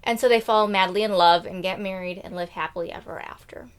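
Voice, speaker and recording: A woman's voice: mid-range voice, fairly even intonation, a little fast, clear, resonant tone. A woman retelling a fairy tale casually and comically, with slangy, theatrical, quirky delivery. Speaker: storyteller.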